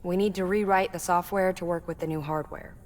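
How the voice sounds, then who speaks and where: An actress playing a calm, matter-of-fact female engineer in a video game, explaining things conversationally. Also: on the low side, brisk, close to an everyday voice. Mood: matter-of-fact, concerned. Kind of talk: video game cutscene dialogue.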